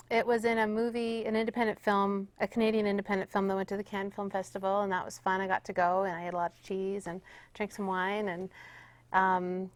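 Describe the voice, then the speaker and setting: A woman's voice: mid-range voice, no room sound. A woman musician chatting casually as an interview guest, informal and loose in her phrasing.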